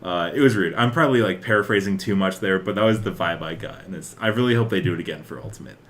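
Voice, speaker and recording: A man's voice: deep voice, quick pace. A man co-hosting a podcast in casual conversation, thinking aloud in a rambling way. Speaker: podcast co-host. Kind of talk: casual chat about games and films.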